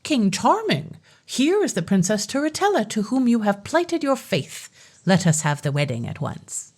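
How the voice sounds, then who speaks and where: A woman's voice: medium pitch, huge pitch swings, normal speed, a little mellow. Storybook fairy, bossy and scolding, woman reader. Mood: commanding. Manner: commanding, scolding. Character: imperious angry fairy godmother.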